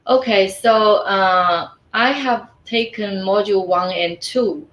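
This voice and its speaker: A woman whose first language is Mandarin, a coach answering interview questions in a thoughtful way, often pausing on "uh" and "mm". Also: medium pitch, fairly bright tone. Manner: thoughtful, frequent "uh" and "mm" fillers. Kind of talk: conversational interview. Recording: echoey room, faint ambient noise.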